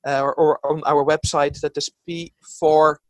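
A man from the Netherlands with a Dutch accent talks in an earnest, thoughtful way, sharing his views as an interview guest. His voice sits fairly high for a man. The sound is dry, with no room around it. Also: little pitch movement, normal speed, no echo. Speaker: psychologist guest. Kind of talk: reflective interview talk.

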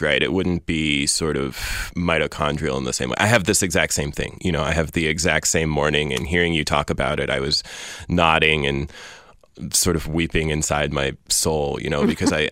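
Deep-voiced thoughtful man, dry close sound. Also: creaky, fairly bright tone, no echo. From Iran. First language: Persian. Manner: articulate, thoughtful, elaborate phrasing with frequent fillers. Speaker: poet guest. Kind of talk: reflective interview conversation.

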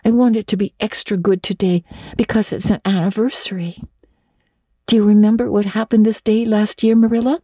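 A woman's voice: medium pitch, fairly even intonation, a little fast, warm tone, no echo, studio quality, lightly acted. A woman voicing an earnest, romantic young girl in a dramatic storybook reading, gushing and breathless, tripping over eager repetitions. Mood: fervent, wistful. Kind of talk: dramatic audiobook reading of classic children's literature.

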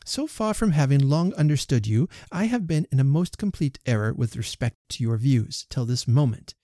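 A man reading the part of a proud young gentlewoman who firmly rejects a suitor, speaking crisply and emphatically. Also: medium pitch, lively intonation, moderate pace, dry recording, studio quality, natural voice. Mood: firm refusal. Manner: crisp, emphatic, rising protest.

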